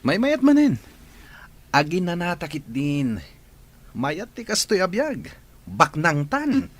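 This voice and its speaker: A man's voice: sits high, wildly swooping pitch, takes it slowly, full character voice. A man playing an idle, gloating accomplice with a smug, leisurely manner in a radio drama. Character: gloating idle male accomplice, pleased with easy riches. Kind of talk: Filipino radio drama acting.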